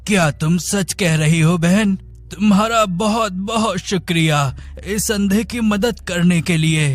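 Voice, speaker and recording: A man voicing a cunning blind schemer who puts on a plaintive, melodramatic manner in an animated story. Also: high-pitched, unhurried, dry recording, faint music behind. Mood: pleading. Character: scheming blind man feigning helpless, wronged innocence. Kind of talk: animated moral story dialogue.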